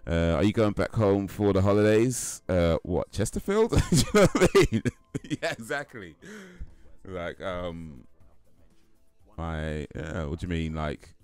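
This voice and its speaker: A man's voice: low-pitched, sing-song, a little slow, darkish tone, clear, resonant tone, no echo, soft background music. A young man from the UK, chatting casually on his livestream, laid-back and often laughing, with lots of fillers and repeats. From United Kingdom. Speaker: livestream host. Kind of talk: casual chat with stream viewers.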